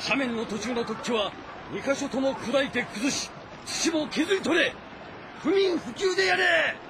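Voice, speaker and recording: A man's voice: very high voice, a little slow, noisy background. An actor playing a keen male military strategist who commands an army, in dramatic audio drama style. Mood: triumphant, commanding.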